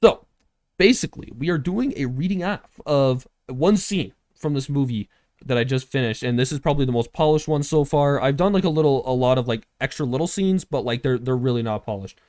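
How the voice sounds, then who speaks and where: A man's voice: medium pitch, brisk, darkish tone, dry recording, studio quality. A young man hosting a casual project update, talking in an informal, rambling way peppered with filler words. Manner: informal, rambling, heavy use of "like". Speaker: filmmaker and host.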